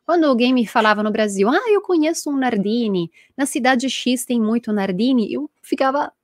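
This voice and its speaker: A woman's voice: on the high side, normal speed, dry recording, clean studio sound. A woman in her thirties from Italy with an Italian accent, teaching a live online language class from a small room at home and reading out viewers' comments. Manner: lively, reads viewer comments, gestures a lot. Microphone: earphone headset mic.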